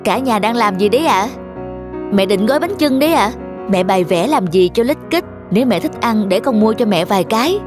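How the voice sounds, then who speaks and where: A man's voice: high voice, animated, brisk, music in the background. A man playing an affectionate father and devoted son in a cartoon, talking in an earnest, reflective way. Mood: brisk. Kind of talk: animated cartoon dialogue and storytelling.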